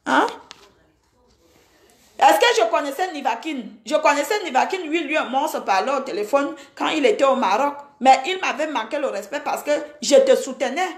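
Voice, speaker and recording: A woman's voice: high voice, lively intonation, normal speed, reverberant. A woman in her forties, from Cameroon, ranting indignantly at a rival, scolding and repeating herself. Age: 40s. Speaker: social media personality. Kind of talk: angry Facebook live rant.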